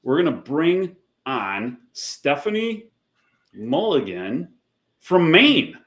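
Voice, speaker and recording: A man with a Wisconsin accent hosts a casual live stream over a video call. His pitch moves lively and animated, and the room around him is echoey. He asks friendly questions and often repeats himself. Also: slow pace. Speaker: host.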